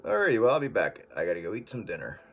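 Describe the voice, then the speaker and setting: A man calling in on a casual radio chat, relaxed and hesitant, with many fillers. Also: lowish voice, racing, heavy background noise. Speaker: radio caller.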